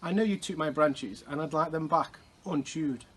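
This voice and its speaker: Storybook beaver, acted by a man, husky, raised male pitch, cross, firm, politely indignant. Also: normal speed, a bit bright. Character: cross beaver demanding stolen branches back.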